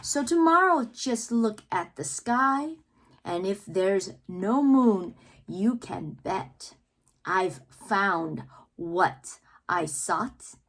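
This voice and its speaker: A woman reads poems aloud for children in a mid-range voice. Her intonation swings widely and she takes her time over each phrase. She stresses words playfully and theatrically, like a teacher sharing a picture book. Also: mid-range voice. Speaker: read-aloud host, teacher-like reader. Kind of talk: expressive children's poetry read-aloud with asides.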